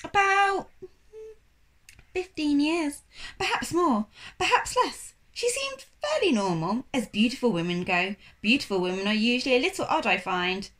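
A woman voicing a polite, paunchy French shopkeeper in a children's storybook, with a French accent and measured, courteous phrasing. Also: high-pitched, very animated, fairly bright tone. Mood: musing, apologetic.

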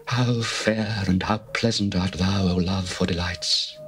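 A solemn, adoring lover from an ancient love poem, as a man reads him, speaking his praise slowly and with reverence. The voice sits a little low and is steady. Faint music plays underneath. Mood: tender. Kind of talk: dramatized scripture reading.

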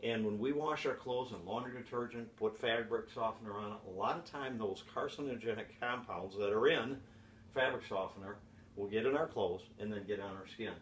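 Man, 60s, deliberate home-video pitchman, on the low side, recorded at a distance with some noise. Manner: deliberate, with repeated rhetorical questions. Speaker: presenter pitching home health products. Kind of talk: informal sales presentation to camera. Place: home office, indoors. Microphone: camera mic from across the room. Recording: echoey, distant camera mic with background hiss.

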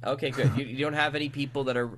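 A man's voice: medium pitch, steady tone, quick pace, fairly bright tone. A man hosting a conversational interview as a podcast host, speaking while getting over a cold.

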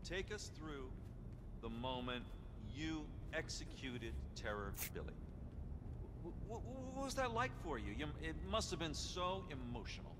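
High-pitched, showy TV host. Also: male voice, very animated, unhurried, background noise. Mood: gushing. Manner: effusive, fawning, showy. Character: gushing, excitable male TV talk show host. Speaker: TV talk show host. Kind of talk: showy television presenting. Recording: echoing studio hall, loud crowd and music.

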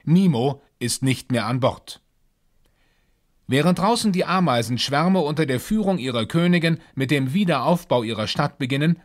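A man narrating a scripted space adventure audio drama as a measured storyteller. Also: on the high side, lightly acted.

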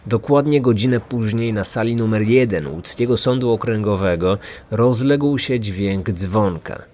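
Lowish-voiced male true-crime narrator. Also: unhurried, a little mellow. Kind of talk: scripted dramatic narration. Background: music.

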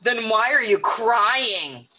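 A woman voicing a boastful, excitable male monster from a picture book, exclaiming and gloating. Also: on the high side, slow, noisy background. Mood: challenging. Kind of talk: picture book read-aloud.

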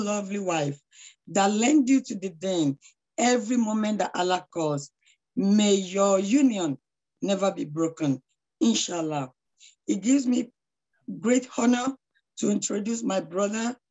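Hoarse middle-aged woman, devout and effusive, video call from home. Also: medium pitch, warm tone, highs cut off. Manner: devout, effusive, praying often. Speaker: charity founder introducing a speaker. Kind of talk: online lecture introduction. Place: small room at home. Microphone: laptop webcam on a video call.

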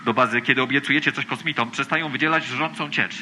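A man's voice: medium pitch, fast talker, pushed and bright, slight background noise. A man playing an officious, alarmist boy soldier in a children's radio play, delivering military reports to base. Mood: clipped, official.

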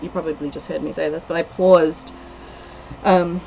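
A woman's voice: deep voice, fairly even intonation, normal speed, vocal fry, warm tone, muffled recording, a little hiss or hum. A woman in her thirties from New Zealand with a New Zealand accent, chatting casually on a game livestream and reading out viewer comments with frequent giggles. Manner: chatty, giggly, lists place names from chat. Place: small room indoors. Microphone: headset.

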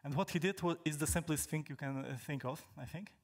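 A young man from Poland, a native Polish speaker, giving a technical talk on stage in a large hall, halting with many fillers and restarts. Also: a little high. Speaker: conference speaker.

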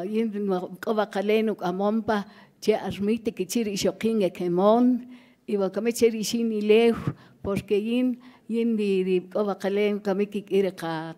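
A woman in her seventies with a Guatemalan accent gives formal testimony. She speaks plainly, at a middling pitch, as a leader addressing a hearing. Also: warm tone. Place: conference hall hearing room. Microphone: gooseneck table microphone.

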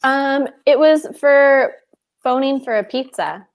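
A young woman, an English teacher, chatting casually on a home video call, relaxed and using lots of filler words. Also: high-pitched, slow pace. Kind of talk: casual livestream lesson chat. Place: small room at home, indoors. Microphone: earphone mic on a video call.

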